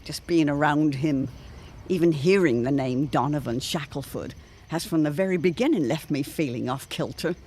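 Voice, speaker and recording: A woman's voice: deep voice, normal speed, darkish tone, slightly rough. A woman playing an anxious, eloquent neighbourhood matron in a period radio drama, speaking in formal, theatrical phrases. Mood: troubled, foreboding. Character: Jane Pembroke, anxious, eloquent older neighbourhood matron with premonitions.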